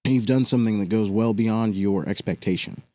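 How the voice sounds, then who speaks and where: A lower-voiced man from West Virginia, speaking earnestly and with animation as a podcast guest. He swears casually and stretches his phrases as he tells the story. The sound is close and dry, with no room in it. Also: a little fast, mellow, no room sound. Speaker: guest musician and past co-host. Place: recording studio on a hill.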